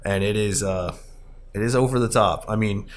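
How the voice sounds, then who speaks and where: Low-voiced man chatting over noticeable noise. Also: moderate pace. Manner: chuckles often while talking. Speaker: podcast host, arcade company staff. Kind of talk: casual podcast chat.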